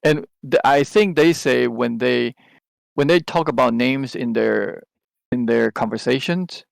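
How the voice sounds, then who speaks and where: Gravelly man. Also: medium pitch, a little slow, dry recording. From Taiwan. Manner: rambling, with many restarts and hesitant fillers. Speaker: podcast co-host. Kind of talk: casual chat.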